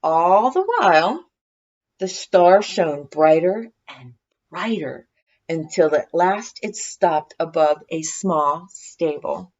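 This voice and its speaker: Lady reading a kids story out loud, expressive, lowish voice, slightly muffled audio. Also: slow. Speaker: storybook reader. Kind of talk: expressive scripted children's story narration.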